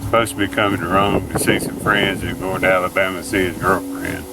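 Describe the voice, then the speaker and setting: A man's voice: deep voice, flat delivery, fairly quick, vocal fry. A man, a police officer at a roadside scene, talking in a plain, matter-of-fact way. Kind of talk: on-scene talk with a fellow officer and a phone call. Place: outdoors at a roadside creek. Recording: noisy field recording.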